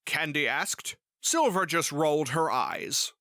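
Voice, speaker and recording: A woman's voice: low voice, animated, slow, a bit bright, no echo, studio quality. A woman reading scripted storybook narration as the narrator.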